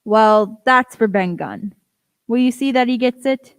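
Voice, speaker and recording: Radio play doctor, kindly gentleman played by a woman: slightly high voice, dry close sound. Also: a little slow, clear, resonant tone, no room sound.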